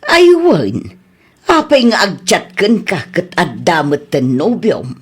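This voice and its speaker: A woman playing a teasing, nosy mother in an Ilocano Filipino radio comedy, with a husky voice. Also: lowish voice, very animated, takes it slowly, full character voice. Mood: surprised, disapproving. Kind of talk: comedy radio drama acting.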